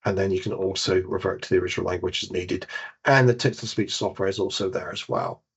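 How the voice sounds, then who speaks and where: A man with a British accent leading a software demonstration webinar as a database trainer. He explains each step while he clicks through the screens and corrects himself now and then. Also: deep voice, steady tone, quick pace, gravelly, husky. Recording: dull lo-fi webinar audio.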